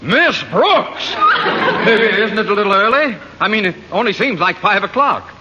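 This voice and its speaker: A man's voice: sits high, big pitch swings, unhurried, in a large hall. A man playing a blustery, irritable middle-aged school principal in an old radio comedy. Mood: flustered, blustery. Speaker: supporting actor in radio comedy. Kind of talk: 1940s radio comedy acting. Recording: very noisy, muffled vintage radio.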